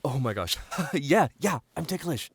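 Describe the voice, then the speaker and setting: A man's voice: a little high, sing-song, measured pace, voice pushed up. A man playing a playful boyfriend in an ASMR roleplay, squirming and stammering in giggly protest as he is tickled. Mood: giggly, flustered. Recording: faint rain noise behind. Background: rain ambience.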